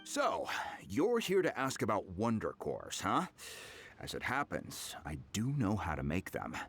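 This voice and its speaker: Friendly game blacksmith, low voice, pitch moves around a lot, folksy n a bit hesitant, music behind. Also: male voice, huge pitch swings, measured pace, gravelly, a bit bright, exaggerated voice. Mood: helpful, musing. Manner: hesitant, folksy, chuckling, colloquial. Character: humble, friendly male blacksmith, a bit slow to catch on. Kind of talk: video game voice acting.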